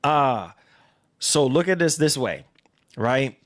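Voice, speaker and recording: A man's voice: medium pitch, big pitch swings, takes it slowly, fairly bright tone. A man in casual livestream chat, speaking emphatically in slang and repeating himself as he goes. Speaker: livestream host.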